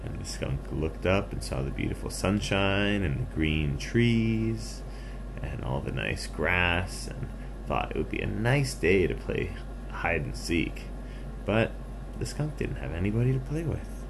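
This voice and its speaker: A man's voice: sits low, big pitch swings, unhurried, gravelly, background noise. A man narrating an improvised bedtime story for children in simple, playful, repetitive phrases.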